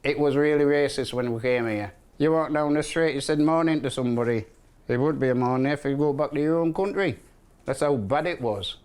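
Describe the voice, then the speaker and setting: A man's voice: medium pitch, brisk, a little mellow, clean tone. An older man talking casually with his son, blunt and teasing, with joking swears. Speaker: father. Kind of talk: casual chat.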